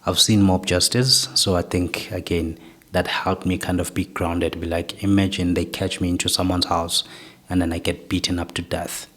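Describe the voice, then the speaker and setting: A young man from South Africa with a soft voice, reflectively telling his life story as an interview guest, often ending points with "right?". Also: deep voice, flat delivery, moderate pace.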